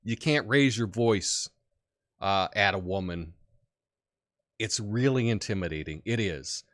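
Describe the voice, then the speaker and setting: A man hosting a political commentary show, who talks in an opinionated, rambling way with many fillers and has a big booming voice. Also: unhurried, a bit bright. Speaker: podcast host.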